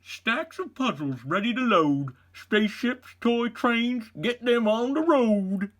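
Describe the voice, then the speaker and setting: A man acts out a folksy country trucker with a drawl, hosting a children's storybook hour. The voice is rough and husky, swinging widely up and down in pitch. The delivery is theatrical and goofy, unhurried overall. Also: expressive, a bit bright, exaggerated voice. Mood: hearty, dramatic. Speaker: storybook read-aloud host. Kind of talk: comic children's read-aloud.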